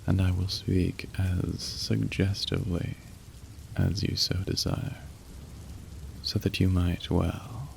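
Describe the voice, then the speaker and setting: A man plays a demon phone operator for an ASMR roleplay, very low and gravelly. He is courteous and wry, sliding between suggestive polish and awkward apology, and his delivery stays narrow in pitch while rain falls behind him. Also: flat delivery, slow, slight background noise, full character voice. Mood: suave, formal, suggestive. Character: suave, awkward young male incubus working a phone line. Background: falling rain.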